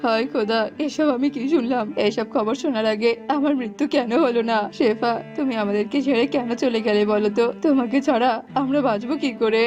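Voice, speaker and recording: A woman's voice: a little high, fairly quick, hardly any pauses, a little mellow, lightly acted. A woman voicing a poor, sorrowful, tender jackal father with a plaintive, exclamatory cartoon delivery. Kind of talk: cartoon voice acting. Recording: muffled, music underneath.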